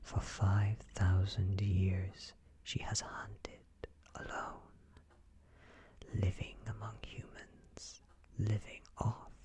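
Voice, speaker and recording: A British man reading a story aloud in a soft, breathy ASMR whisper, with hushed, deliberate phrasing and pauses. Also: fairly low pitch. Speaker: audiobook-style ASMR reader.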